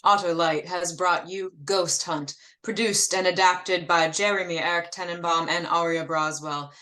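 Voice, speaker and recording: A woman's voice: fairly low pitch, steady tone, normal speed, pushed and bright. A woman announcer reading scripted credits in the style of 1940s radio.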